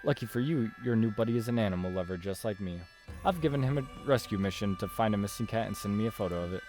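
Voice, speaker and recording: A man's voice: lowish voice, quick pace, darkish tone, faint music behind, natural voice. A man voicing an eager, kindly young girl who loves animals, in a visual novel read-aloud. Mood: pleased.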